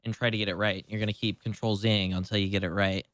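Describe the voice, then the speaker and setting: A man's voice: lowish voice, little pitch movement, fast, a bit bright, no room sound. A man in casual livestream chat as co-host, rambling with lots of "like" and casual swearing. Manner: rambling, heavy use of "like"; casually foul-mouthed.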